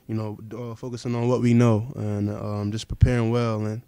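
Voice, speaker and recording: Mellow, soft-spoken young man at a table mic. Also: fairly low pitch, level tone, a little fast, creaky, clean tone, no room sound. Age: young adult. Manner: soft-spoken, many fillers. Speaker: college basketball player. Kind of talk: postgame press conference answers. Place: press conference room.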